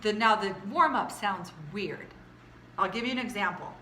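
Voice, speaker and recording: A woman teaching a vocal warm-up lesson for choir singers, chatty and casual, with a naturally low speaking voice. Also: moderate pace, roomy sound, a little hiss or hum. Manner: chatty, demonstrates belting and singing.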